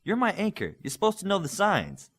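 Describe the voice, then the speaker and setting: Man voicing a cocky, loud young superhero student. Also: high voice, huge pitch swings, a little fast, voice pushed up, exaggerated voice. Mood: frustrated.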